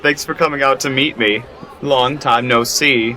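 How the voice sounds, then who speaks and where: A man's voice: medium pitch, steady tone, normal speed, sharp tone, a faint crowd, close to an everyday voice, rough recording. A man playing an easygoing, teasing adult writer with a breezy manner in a radio drama. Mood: breezy, playful. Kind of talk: amateur anime-style radio drama acting.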